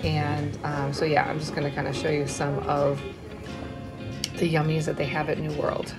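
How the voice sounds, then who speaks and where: A woman's voice: low voice, completely flat delivery, normal speed, over loud music. An American woman in her forties vlogging in a casual, chatty way, laughing often and dropping ums and uhs. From United States. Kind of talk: casual vlog narration. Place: small bright room indoors, then walking outdoors in the city.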